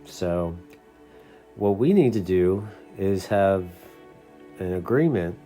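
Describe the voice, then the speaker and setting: An American man with a low, very mellow voice speaks in an unscripted, conversational style. He comes across as an earnest hobbyist explaining things to his audience. Soft music plays faintly behind him. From United States. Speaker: CB radio hobbyist and video host. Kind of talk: casual unscripted talk.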